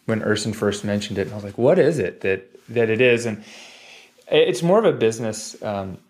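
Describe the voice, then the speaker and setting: A man's voice: on the low side, expressive, normal speed, darkish tone. A man in his forties telling stories casually as a podcast guest, rambling and digressing with jokey asides. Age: 40s. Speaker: podcast guest, physical therapist. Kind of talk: casual storytelling in a podcast interview.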